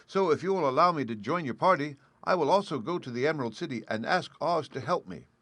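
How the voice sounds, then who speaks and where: A man voicing a polite, grateful male tin woodsman in a storybook, in a high, strained, slow and sad tone. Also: lively intonation, a bit bright, no room sound.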